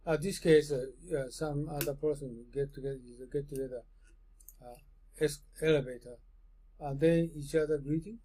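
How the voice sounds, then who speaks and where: A man from Japan with a Japanese accent, speaking halting, fragmented English as a second language while insistently leading an informal discussion. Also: fairly high pitch, steady tone, mellow, a little hiss or hum. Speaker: interviewer, host.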